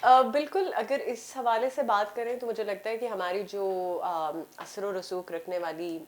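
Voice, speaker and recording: A woman's voice: medium pitch, steady tone, a little slow. A woman with a Pakistani accent giving her opinions conversationally as a panel guest, mixing in English phrases. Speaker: talk show guest.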